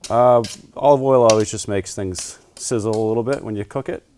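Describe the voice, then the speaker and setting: A man's voice: on the low side, brisk, darkish tone, slight background noise. A man, the town manager, cooking as a guest and explaining each step in an easygoing way, with lots of "uh" and restarts. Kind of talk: casual cooking demonstration.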